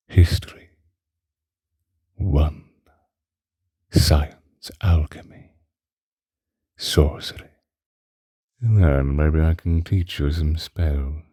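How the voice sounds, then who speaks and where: Man playing a very deep, seductive vampire. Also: steady tone, warm tone, no echo, clean studio sound, heavily acted. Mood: alluring, dreamy. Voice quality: breathy, husky deep murmur. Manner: languid, archaic, theatrical, with lingering pauses. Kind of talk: ASMR roleplay, whispered close to the ear. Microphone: close microphone, dry.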